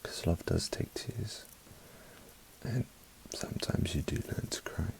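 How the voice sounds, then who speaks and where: A man from South Africa with a mixed British accent, giving an unhurried, soft-spoken ASMR album review in a half-whispered voice with wry asides, close to the mic. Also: deep voice, animated, gravelly, slight background noise, low-quality recording.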